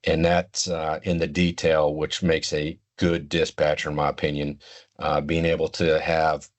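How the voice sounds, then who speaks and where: Older guy, real deep voice, interview guest, measured and halting, thinking as he explains. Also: level tone. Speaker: interview guest, retired law enforcement communications expert. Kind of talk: unscripted interview answers.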